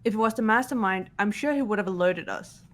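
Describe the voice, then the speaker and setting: A woman's voice: medium pitch, fast talker, a bit bright, soft background music, close to an everyday voice. A woman playing a composed, serious young female student detective, matter-of-fact, in an amateur game dub. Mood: serious, calm. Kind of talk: amateur fully voiced game playthrough.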